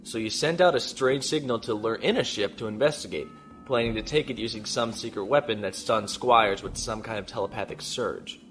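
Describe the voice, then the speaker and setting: Man acting a measured, decisive starship captain. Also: lowish voice, fairly bright tone, faint music behind, natural voice. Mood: analytical. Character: male starship captain, calm, decisive, shrewd tactician. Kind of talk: science fiction audio drama acting.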